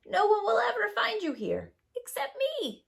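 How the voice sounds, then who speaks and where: A cruel storybook witch, as a woman narrator puts her on, harsh and loud. She gloats with menace, often swooping up high above a normal talking voice. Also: huge pitch swings, a little slow, gravelly, exaggerated voice. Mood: gleeful gloating. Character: cruel gleeful old witch claiming a child.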